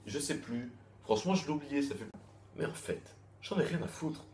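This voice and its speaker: A man's voice: mid-range voice, normal speed, a little mellow. A man giving a casual, rambling review with many restarts and crude slang. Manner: loose, repetitive, with frequent restarts and crude slang. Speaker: manga chapter reviewer. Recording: echoey room, faint background noise.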